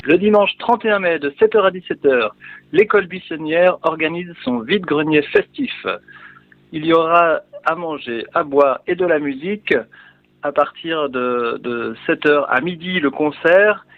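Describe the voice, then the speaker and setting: A man's voice: fairly high pitch, measured pace, narrow, tinny audio. A man reading out a scripted promotional event announcement. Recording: thin band-limited radio sound, dry.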